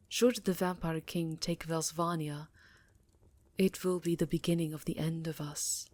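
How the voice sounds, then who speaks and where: Actress as vampire countess: low register, unhurried, imperious and secretive, breathy ASMR intimacy. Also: female voice, dry recording. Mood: grave, resolute. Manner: measured, dramatic, breathy delivery dipping into whispers. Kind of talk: intimate ASMR roleplay monologue.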